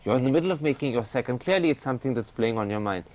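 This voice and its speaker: A man's voice: medium pitch, lively intonation, very fast, darkish tone. A male interviewer asking direct, probing questions on a TV interview show.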